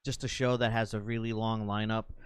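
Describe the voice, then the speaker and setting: A man's voice: on the low side, level tone, brisk, clean tone, no room sound. A man in his forties hosting a casual podcast chat, laid-back and joking. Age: 40s. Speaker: podcast host.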